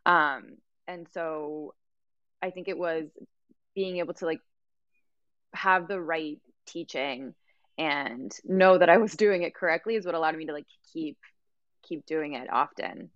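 A woman's voice: low-pitched, measured pace, dry recording, muffled recording. A woman in her thirties from Mexico, a guest telling her story earnestly, with many fillers and restarts. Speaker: guest, yoga teacher and life coach. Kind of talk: casual interview conversation.

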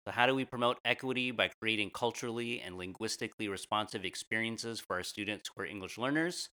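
A man's voice: on the low side, fast talker, pushed and bright. An American man reading a scripted podcast introduction as a co-host.

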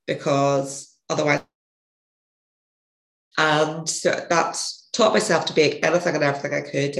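Gravelly, hoarse woman telling her story. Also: low voice. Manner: rambling, self-correcting storyteller. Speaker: podcast guest, author. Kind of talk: casual interview storytelling. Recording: echoey room.

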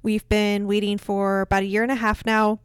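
A woman's voice: medium pitch, flat delivery, a little fast, smooth, no room sound. A woman from central Illinois, a guest in an interview, talking in a chatty, upbeat way and laughing easily. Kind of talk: casual interview conversation.

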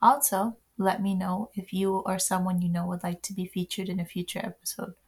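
A woman's voice: fairly low pitch, steady tone, a little fast, a little mellow, husky. A woman reading the scripted closing announcement of a podcast. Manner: reads a sign-off announcement. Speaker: podcast host. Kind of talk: scripted outro.

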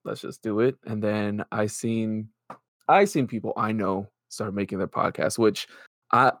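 A man's voice: fairly low pitch, a little slow, a bit bright, no room sound, studio quality. A man co-hosting a podcast in casual chat, talkative and joking, swearing casually. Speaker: podcast co-host.

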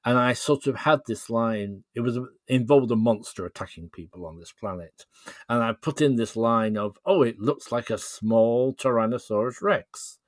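A man's voice: mid-range voice, moderate pace, no echo, clean studio sound. A man chatting as an interview guest, explaining things in a thoughtful, hesitant way. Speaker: podcast guest, writer. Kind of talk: casual interview chat.

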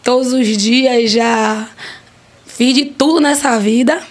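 A woman, a restaurant owner telling her life story in a relaxed podcast interview, lively and full of jokes. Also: fairly high pitch, slow pace. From Brazil. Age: 40s. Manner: lively, joking, emphatic storyteller.

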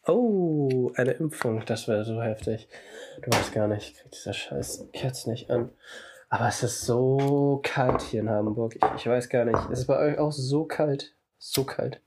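A young man with a cold, chatting casually as a podcast co-host, slangy and quick to laugh. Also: medium pitch, noisy background. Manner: slangy, laughs a lot, restarts sentences. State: has a cold. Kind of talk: casual chat.

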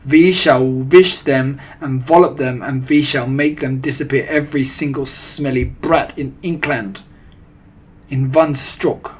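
A man's voice: mid-range voice, a little slow, a little hiss or hum, close to an everyday voice. A man voicing a tyrannical, ranting female head witch in an audiobook, imperious and thundering, with broken foreign-sounding grammar. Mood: scheming, forceful. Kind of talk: audiobook character voice.

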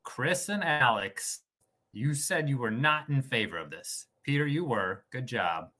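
Bright, lively, incredulous podcast guy. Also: mid-range voice, measured pace. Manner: incredulous, emphatic, teasing. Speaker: podcast regular running a question segment. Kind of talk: casual sports chat.

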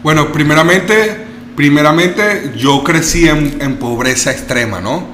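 A man's voice: on the high side, measured pace, pushed and bright, echoey room, a little hiss or hum. A man from Venezuela, a guest in a casual interview, talking in a rambling way with many restarts and tag questions.

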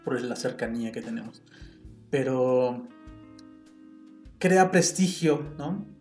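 A man's voice: medium pitch, expressive, slow, a little mellow, roomy sound, soft background music. A Mexican man with a Mexican Spanish accent giving an informal, emphatic lecture on language, with rhetorical questions.

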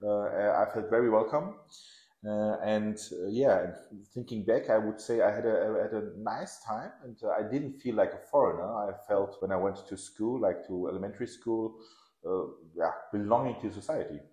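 Low-voiced man born in Iran. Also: moderate pace, echoey room, rough recording. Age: 40s. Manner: relaxed, restarts often, laughs while telling stories. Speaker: podcast guest, lawyer. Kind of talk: casual interview.

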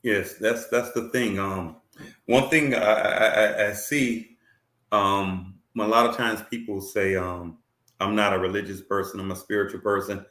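Male talk show host, low voice, effusive and repetitive, echoey room sound. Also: fairly even intonation, a little slow, bright, forceful tone. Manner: effusive, repeats words and fillers. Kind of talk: podcast talk show hosting.

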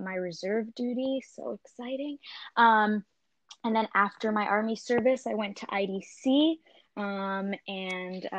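A relaxed woman in her thirties co-hosts a casual podcast, pausing often with ums as she talks. Her voice sits at a middle pitch. It is recorded close and dry, with no room sound. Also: dry recording. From New York. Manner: relaxed, many fillers and pauses. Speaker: podcast co-host. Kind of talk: casual chat.

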